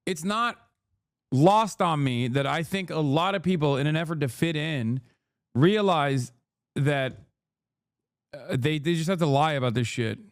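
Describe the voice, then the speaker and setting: Name: profane, animated male streamer. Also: a little high, a little slow, pauses often, no room sound, studio quality. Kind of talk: casual reaction commentary.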